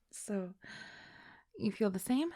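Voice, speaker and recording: A woman's voice: fairly high pitch, lively intonation, takes it slowly, warm tone, dry recording, clean studio sound. A woman playing a chill, flirty best friend with a secret crush in an intimate audio roleplay, speaking in a giggly, hesitant, affectionate way. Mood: nervous, tender. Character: chill, flirty female best friend shyly confessing love. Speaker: voice actor.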